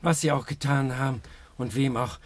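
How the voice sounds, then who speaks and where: An actor playing a desperate, unstable addicted patient in a 1960s German radio thriller, pleading and repeating himself in agitation. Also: male voice, mid-range voice, fairly even intonation, normal speed, lightly acted.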